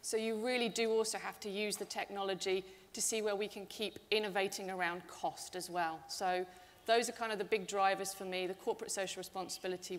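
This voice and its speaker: A middle-aged woman speaking on a conference panel, upbeat and gesturing as she talks. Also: medium pitch. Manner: upbeat, gestures while talking. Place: large event hall stage. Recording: reverberant hall.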